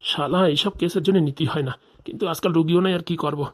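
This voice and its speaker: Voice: mid-range voice, quick pace, natural voice. A performer voicing a nervous, evasive small-time male village doctor in a comedy cartoon, stammering, pleading and grumbling. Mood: grumbling, scheming.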